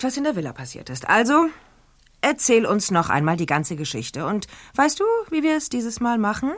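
An actress playing a sharp female police detective in a radio play, questioning a suspect with persistent, sarcastic probing. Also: mid-range voice, natural voice.

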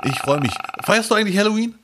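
A man co-hosting a podcast in casual chat, lively and jokey, swinging between loud outbursts and low mutters. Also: fast talker, background noise. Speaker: podcast co-host. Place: near Duisburg.

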